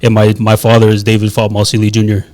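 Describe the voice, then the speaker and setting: A man appearing as a guest dancer on a talk show, introducing himself in a steady, plain-spoken way. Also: lowish voice, quick pace, a little hiss or hum. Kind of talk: casual interview.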